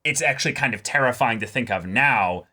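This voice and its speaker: A man's voice: medium pitch, brisk, bright, forceful tone. A man co-hosting a show, talking in casual, emphatic commentary with repeated words for stress. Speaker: co-host of anime reaction show.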